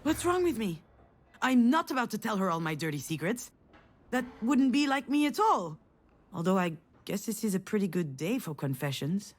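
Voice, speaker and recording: A woman's voice: mid-range voice, very animated, moderate pace. An actress playing a wry, self-deprecating failed screenwriter, confiding her thoughts as a game's narrator in a sardonic way. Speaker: narrator and protagonist. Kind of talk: first-person inner monologue in a narrative game.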